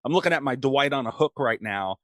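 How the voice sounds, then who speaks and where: A man's voice: mid-range voice, expressive, fast, a bit bright, no echo, clean studio sound. A man hosting a podcast, chatting casually as he interviews a guest.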